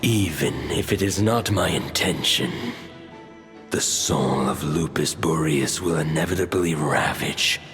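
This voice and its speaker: Wise ancient deity for a game: extremely low, slow, grave. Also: male voice, fairly bright tone, in a large hall, faint music behind, heavily acted. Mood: ominous. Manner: solemn, deliberate. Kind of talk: scripted video game acting.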